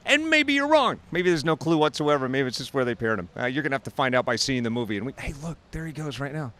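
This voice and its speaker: A man's voice: medium pitch, sing-song, quick pace, a bit bright. A man hosting an entertainment show, interviewing actors and talking to the camera with jokey enthusiasm. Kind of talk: upbeat interviewer banter.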